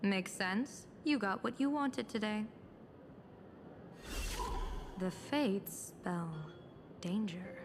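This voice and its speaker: Playful fortune-telling general, slow and cryptic. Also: female voice, medium pitch, animated, background noise. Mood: teasing, pondering. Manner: theatrical, measured, cryptic. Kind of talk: video game voice acting.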